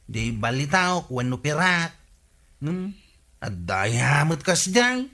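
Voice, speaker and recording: An actor playing a boastful, easygoing village man in a radio comedy drama, with a teasing, drawn-out delivery. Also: on the high side, sing-song, bright, forceful tone, full character voice. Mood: explaining, coaxing.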